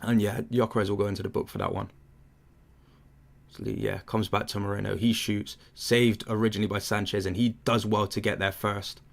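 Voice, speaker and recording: A man's voice: lowish voice, creaky, fairly bright tone. A young man hosting a football watchalong, commentating casually and chatting with viewers, rambling with fillers. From England. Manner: chatty, rambling with fillers, answers chat questions. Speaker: watchalong host.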